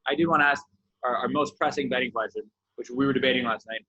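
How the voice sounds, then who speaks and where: A man co-hosting a casual sports interview, halting and repeating words as he frames his questions. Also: mid-range voice, steady tone, pushed and bright, lo-fi sound. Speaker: podcast co-host, interviewer.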